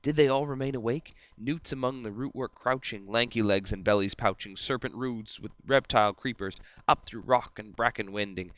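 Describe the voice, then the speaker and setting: Boisterous devil guide for verse drama, male reader: commanding, declamatory, forceful bright voice, close dry sound. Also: mid-range voice, normal speed, hardly any pauses, no echo, muffled recording, close to an everyday voice. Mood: wondering. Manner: theatrical, declamatory. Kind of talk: amateur dramatic reading of verse.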